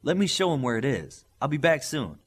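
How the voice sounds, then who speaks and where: A man voicing a friendly but bossy teenage superheroine in an audiobook, brisk and casual in manner. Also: mid-range voice, big pitch swings, breathy edge, voice pushed up.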